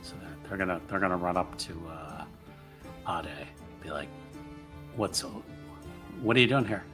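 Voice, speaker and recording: A man's voice: sits low, normal speed, bright, forceful tone, soft background music. A man taking part in a tabletop roleplaying game, mixing casual table talk with in-character lines.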